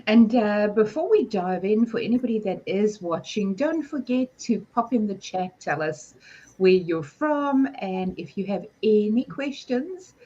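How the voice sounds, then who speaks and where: A woman with a croaky, hoarse voice hosts a business livestream in a friendly, chatty way. She asks the questions and laughs often. The sound is dull and a little lo-fi, as over a video call. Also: mid-range voice, expressive, a little slow, dark tone. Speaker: host. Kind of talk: livestream hosting.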